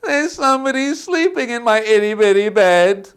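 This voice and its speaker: A man's voice: very high-pitched, animated, normal speed, clean tone, pitched above the performer's own voice. A man voicing a whiny, upset little bear cub in a storybook reading. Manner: whiny, loud, sulky.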